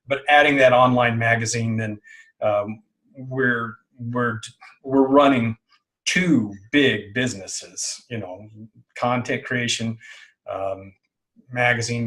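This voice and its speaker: A middle-aged man being interviewed, talking casually and haltingly, often repeating words and phrases as he explains things. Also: mid-range voice, a bit bright, rough recording. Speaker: interview guest, online business owner.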